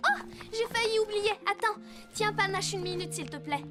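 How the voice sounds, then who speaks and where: A woman voicing a cheerful teenage girl at a horse ranch in a cartoon dub, talking in a lively, expressive way. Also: very high-pitched, normal speed, loud music behind the voice.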